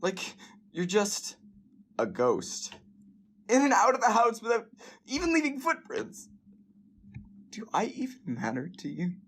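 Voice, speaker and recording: Woman playing a slow-spoken, resentful husband. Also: mid-range voice, wildly swooping pitch, slightly rough, full character voice. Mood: hurt, accusing. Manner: halting, sarcastic, aggrieved. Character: resentful, neglected, bitter husband in a failing marriage. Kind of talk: audio drama acting.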